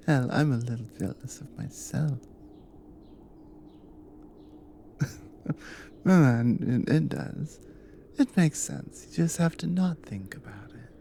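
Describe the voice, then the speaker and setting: Woman playing a hushed, unhurried Nordic warrior wife. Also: extremely low pitch, slight background noise, full character voice. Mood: teasing, affectionate. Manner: soft, hushed, often breathy whispering, slow and earnest. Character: devoted Nordic warrior wife, dominant, tender bounty hunter. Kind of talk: intimate ASMR audio roleplay.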